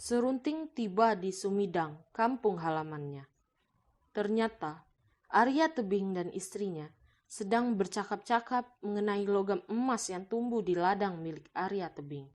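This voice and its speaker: A woman's voice: mid-range voice, animated, measured pace, darkish tone. A woman reading scripted narration for a folktale as its storyteller.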